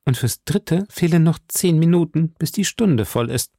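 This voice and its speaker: A man voicing a composed, dry-witted musketeer leader in an audiobook, speaking calmly and deliberately with an indifferent air. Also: a little high, no echo, voice pushed up.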